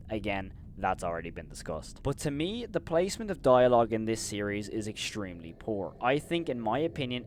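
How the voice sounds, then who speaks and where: Brief: male commentary host, slightly deep, opinionated, climbs into loud disbelief while ranting, faint rumble. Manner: opinionated, repeats "of course" and "in my opinion"; gets loud and incredulous when ranting. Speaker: host and reviewer.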